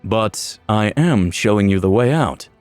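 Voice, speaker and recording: A man voicing a playfully cruel male necromancer in a storytelling narration, slow and drawn-out with mock courtesy. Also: on the low side, animated, a little mellow, no echo, faint music behind. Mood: amused, menacing. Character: sinister pale undead male necromancer, playfully cruel, amused.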